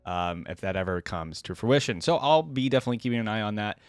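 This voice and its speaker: A man's voice: fairly low pitch, expressive, fast, clean tone, dry recording, clean studio sound. A man hosting a reaction video, talking casually and off the cuff in a conversational, rambling way that often checks for agreement.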